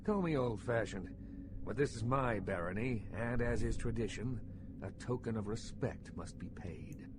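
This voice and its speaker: A lowish male voice from an actor as a smug vampire baron in a video game. He speaks formally and with measured condescension, like old-fashioned authority. Clear background noise sits behind him. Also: natural voice. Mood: formal, demanding. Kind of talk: video game dialogue acting. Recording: clearly noisy ambient background.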